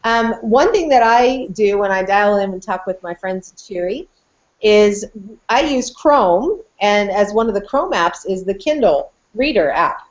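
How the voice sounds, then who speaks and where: A woman's voice: medium pitch, lively intonation, unhurried. Woman on a dull video-call recording. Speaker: podcast co-host and educator. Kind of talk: casual chat with tool demonstration. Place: small indoor office, video call. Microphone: computer microphone on video call.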